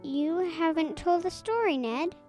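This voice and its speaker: A woman's voice: very high voice, lively intonation, unhurried, darkish tone, soft background music. A woman playing an eager, outspoken little girl in a Christmas radio drama. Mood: curious.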